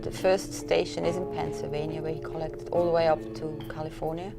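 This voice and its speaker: A woman from Switzerland who manages a museum's insect collections, explaining her work in a relaxed, matter-of-fact documentary interview. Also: low voice, a little fast, vocal fry, over loud music.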